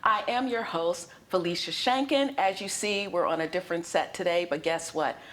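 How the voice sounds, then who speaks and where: A woman's voice: lowish voice, moderate pace, a bit bright. A woman hosting a talk show, bubbly and enthusiastic as she chats with her guest. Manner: bubbly, enthusiastic, laughs often. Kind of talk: upbeat interview chat.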